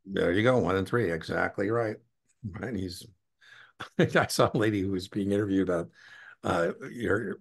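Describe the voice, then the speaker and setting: A middle-aged American man with a gravelly voice tutoring one-on-one over a video call, informal, encouraging and given to tangents. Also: low voice. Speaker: exam tutor. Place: indoor room on a video call.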